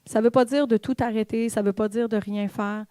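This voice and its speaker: A woman's voice: on the high side, fairly even intonation, moderate pace, mellow, clean tone, no room sound. A woman with a Québécois French accent giving an informal, conversational sermon in church, with fillers and the odd whispered aside. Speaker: church speaker.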